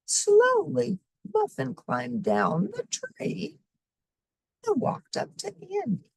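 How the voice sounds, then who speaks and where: A woman reading a children's story expressively, with simple, deliberate phrasing for young listeners. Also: sits high, wildly swooping pitch, gravelly, no room sound, low-quality recording. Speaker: storybook reader.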